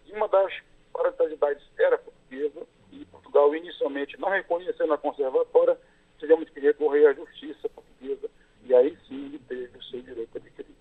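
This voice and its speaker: A Brazilian lawyer answers interview questions in a measured, step-by-step way, pausing on fillers as he goes. His voice sits a little high and sounds husky. It all comes through a thin, tinny phone line. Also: fairly even intonation.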